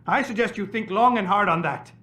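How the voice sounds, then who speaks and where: An actor playing an articulate, indignant activist doctor in a video game, speaking formally and pointedly. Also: male voice, high-pitched, a little fast, pushed and bright. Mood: grave. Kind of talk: dramatic video game dialogue.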